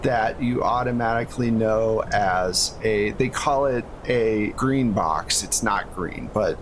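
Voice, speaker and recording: A man running a tabletop game as game master, narrating casually and explaining things conversationally. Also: slow. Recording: steady low rumble and hum behind voice.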